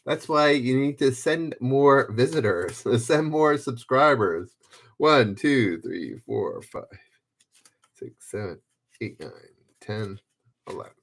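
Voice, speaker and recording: A man's voice: medium pitch, expressive, slow, noisy background. A man hosting a trivia livestream, reading clues in the first person and chatting casually with viewers by name.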